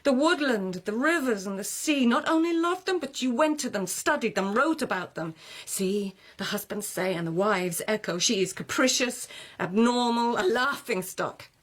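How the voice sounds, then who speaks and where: An actress playing an intelligent, outspoken lady of the court in a radio drama, speaking with deliberate, rhetorical phrasing and pointed irony. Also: fairly high pitch, lively intonation. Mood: impassioned, scornful.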